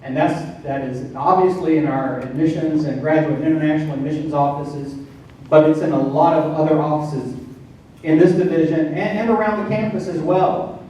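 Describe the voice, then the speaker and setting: A man in his fifties making an announcement at a staff meeting, halting and restarting his sentences. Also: fairly high pitch, steady tone, warm tone, dull audio, a little hiss or hum. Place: large meeting room. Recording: echoey hall from far off.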